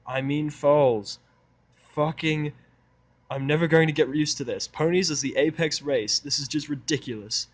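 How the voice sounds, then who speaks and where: A man's voice: on the high side, normal speed, pitched above the performer's own voice, lightly acted. A man playing a surly, sarcastic human worker, curt and irritable, in an audio drama. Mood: exasperated. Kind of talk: fan fiction audio drama acting.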